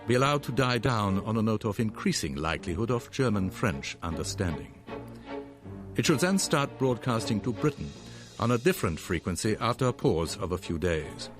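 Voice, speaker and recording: Stern Nazi official, formal, authoritative, measured orders, animated pitch, documentary drama, music behind. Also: male voice. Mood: coldly official.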